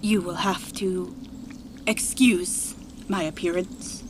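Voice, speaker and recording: A woman voicing an eerie inhuman entity in a human body for a horror audio drama, speaking in halting, menacing fragments. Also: lowish voice, steady tone, slight background noise. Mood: taunting, menacing. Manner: halting, disjointed, menacing speech.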